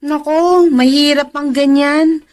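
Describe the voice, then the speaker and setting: High-voiced, inquisitive Filipino woman friend. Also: steady tone, slow. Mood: curious, concerned. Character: curious, concerned female friend paying a visit. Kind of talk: Filipino radio drama acting.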